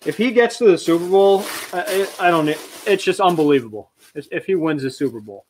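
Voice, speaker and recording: A man's voice: sits high, expressive, fairly quick, slight background noise. Chatty man hosting a live auction stream. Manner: chatty, reads viewer comments aloud, moves around while talking. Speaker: auction livestream host. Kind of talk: casual live-stream chat. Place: small storage room full of shelves, indoors. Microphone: webcam mic at desk, sometimes farther away.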